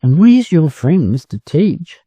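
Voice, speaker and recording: A man's voice: a little high, big pitch swings, a little slow, warm tone, husky, no room sound, muffled recording, voice pushed up, heavily acted. A man with an Australian accent voicing naive little ducklings in a storybook, plaintive and eager. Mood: pleading.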